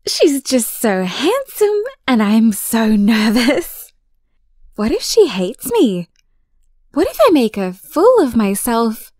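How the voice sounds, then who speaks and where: A woman's voice: fairly high pitch, big pitch swings, slow pace, darkish tone, no echo, clean studio sound, heavily acted. A woman playing an anxious, smitten young woman in an audio drama, nervous and self-doubting as she leaves a voicemail. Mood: nervous, fretting.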